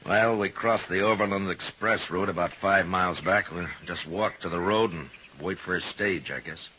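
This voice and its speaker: An actor playing a stern, laconic Old West marshal in a terse, deadpan 1950s radio western style. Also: male voice, low-pitched, moderate pace, a little hiss or hum. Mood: gruff, matter-of-fact. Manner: terse, deadpan, hard-bitten. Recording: dull old radio recording, music behind.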